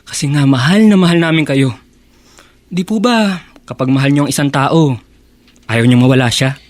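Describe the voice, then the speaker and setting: A man's voice: on the high side, normal speed, no echo, natural voice. A man playing an earnest, affectionate young Filipino grandson in a radio drama. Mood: earnest, worried.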